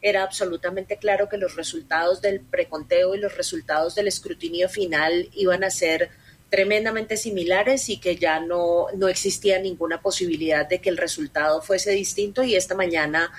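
A Colombian woman political scientist, calling in as a guest and giving measured, explanatory answers with some hesitations. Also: lowish voice, fairly even intonation, husky. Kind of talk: analytical interview answers. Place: remote call from Bogotá.

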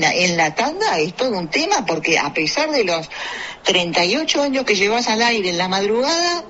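A man's voice: sits high, bright, forceful tone, lo-fi sound. A man with a Uruguayan Spanish accent chatting casually on a radio show, rambling with many fillers and restarts. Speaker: radio co-host. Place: radio studio.

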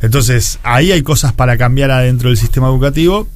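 A man's voice: mid-range voice, quick pace. Uruguayan guy in his 40s explaining stuff in an interview, repeats words while he thinks, normal midrange voice. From Montevideo, Uruguay. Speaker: interview guest, education official.

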